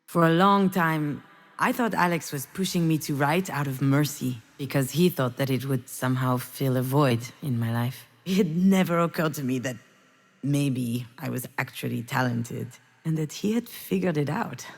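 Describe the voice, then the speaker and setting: A woman's voice: extremely low pitch, normal speed. An actress playing a vulnerable, wry, grieving woman writer in a narrative video game, reflective and confiding in a naturalistic style. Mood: tender, reflective.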